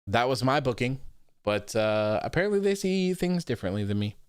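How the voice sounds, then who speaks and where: Thirtyish guy rambling through stream chat, smooth clear voice, dry sound. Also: mid-range voice, animated, a little fast, no echo. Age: 30s. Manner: loose and chatty, lots of likes and ums. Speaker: podcast host reading live chat. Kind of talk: casual livestream chat.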